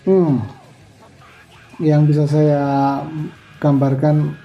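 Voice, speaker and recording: An older man giving a relaxed, chatty product review, full of fillers and restarts. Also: on the high side, very slow, lo-fi sound, faint music behind. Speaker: motorcycle gear reviewer.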